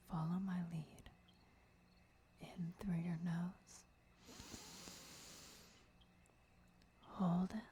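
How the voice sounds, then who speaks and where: A woman playing a devoted, gentle vampire mentor and lover in a breathy, whispered ASMR roleplay, instructing in a soothing way. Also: on the low side, slight background noise. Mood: calm, soothing.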